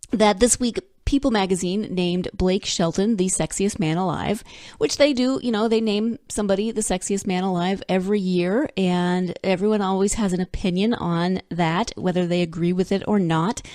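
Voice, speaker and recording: A woman's voice: medium pitch, normal speed, a little mellow, dry recording, studio quality. Congested, chatty woman podcast host. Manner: chatty and upbeat, with frequent fillers and self-corrections. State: congested, snuffly, slightly scratchy throat. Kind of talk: casual solo podcast monologue. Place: home in Northern California.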